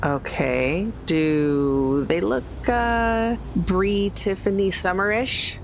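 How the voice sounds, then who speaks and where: A woman's voice: mid-range voice, very slow, vocal fry, slight background noise. A woman taking part as a player in a tabletop roleplaying game, talking casually about her character's actions.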